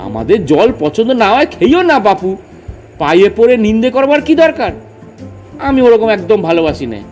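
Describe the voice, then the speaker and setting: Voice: medium pitch, sing-song, fairly quick, darkish tone, soft background music, lightly acted. A performer in a comic audio drama playing cantankerous, boastful village men who quibble pedantically and argue emphatically. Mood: offended. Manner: argumentative, emphatic, rambling lists.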